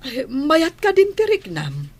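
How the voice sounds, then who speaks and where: A woman's voice: high-pitched, wildly swooping pitch, slow pace, darkish tone, full character voice. A woman with a Filipino accent playing a devoted, motherly household nanny in a radio drama. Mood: affectionate.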